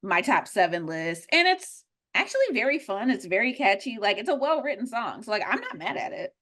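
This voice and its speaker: A woman's voice: on the high side, sing-song, a little fast, a bit bright. A woman whose first language is Spanish, co-hosting a podcast in casual chat, talking with many ums and likes. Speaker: podcast co-host.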